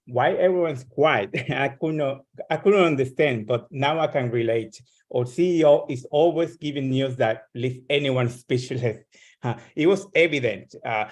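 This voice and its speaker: A man giving prepared remarks to an online meeting as a guest speaker, earnest and somewhat halting in his delivery. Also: medium pitch.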